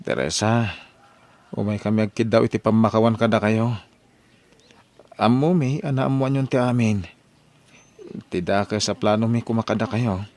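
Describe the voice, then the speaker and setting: A man's voice: lowish voice, fairly even intonation, normal speed, long pauses, darkish tone, lightly acted. A man with an Ilocano accent playing a complaining, sheepish husband in an audio drama.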